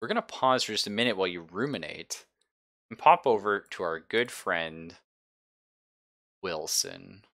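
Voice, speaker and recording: Male game master, low-pitched with a bright, forceful edge, informal and sweary storytelling. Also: expressive, measured pace, creaky, long pauses. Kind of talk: casual narration of a tabletop roleplaying game. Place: online game session, streamed.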